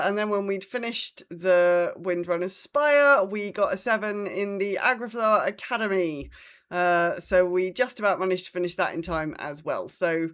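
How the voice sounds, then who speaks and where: A woman's voice: lowish voice, a bit bright. A woman hosting a podcast, chatting casually about her week of gaming and acting out reactions as she retells things. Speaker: podcast host.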